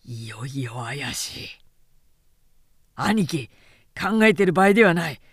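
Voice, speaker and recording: Audiobook role: loyal rough warrior acted by a woman, urgent, blunt, swinging low voice, dry recording. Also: very animated, slow pace, no room sound, exaggerated voice. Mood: suspicious, urgent. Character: rough, impatient, loyal male warrior, sworn brother.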